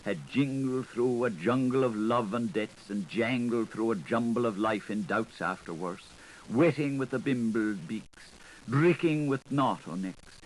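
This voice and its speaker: A man narrating a literary audiobook in an expressive, theatrical way, playful with wordplay and sound effects. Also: mid-range voice, low-quality recording. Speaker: audiobook reader. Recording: 1980s audiobook recording with audible background noise.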